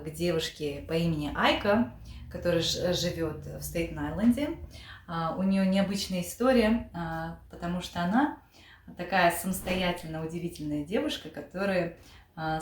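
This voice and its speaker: A woman's voice: lowish voice, roomy sound, a little hiss or hum. A woman from Kazakhstan hosting her vlog, introducing a guest and asking interview questions in a friendly, upbeat way with many fillers. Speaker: vlog host.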